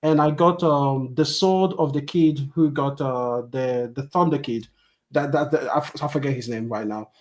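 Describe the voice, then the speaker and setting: A man's voice: mid-range voice, normal speed, darkish tone. A man hosting a gaming podcast, talking animatedly in casual chat, swearing freely and laughing often.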